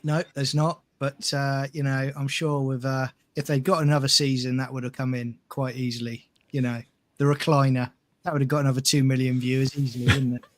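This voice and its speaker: A man's voice: medium pitch, little pitch movement, brisk, dry recording. A man chatting casually as a guest panelist in a laid-back, joking way. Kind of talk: casual chat.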